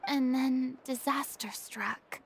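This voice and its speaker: A woman's voice: sits high, fairly even intonation, slow pace, gravelly, slight background noise, full character voice. A woman playing a proud, eloquent young former star performer in a video game, speaking in a formal, theatrical manner. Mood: sombre. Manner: theatrical, formal, dramatic monologue delivery.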